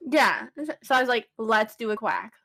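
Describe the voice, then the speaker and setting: Chatty giggly woman, higher voice. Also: expressive, normal speed, bright, forceful tone. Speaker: podcast co-host. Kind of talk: casual chat.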